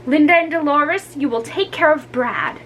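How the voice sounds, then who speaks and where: A woman voicing a stern, disappointed mother who scolds in measured words, in a storybook reading. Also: high voice, fairly bright tone, a little hiss or hum, voice pushed up.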